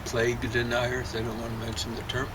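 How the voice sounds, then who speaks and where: A man's voice: medium pitch, monotone, fairly quick. A man giving his opinions in casual chat outdoors, with a sardonic, grumbling manner. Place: outdoors on a deck. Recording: distant from mic, clear outdoor traffic noise.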